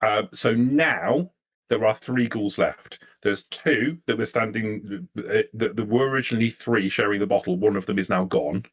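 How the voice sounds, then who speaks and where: A man acting as game master, narrating a tabletop game in a halting, improvised way and repeating phrases as he thinks. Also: fairly low pitch.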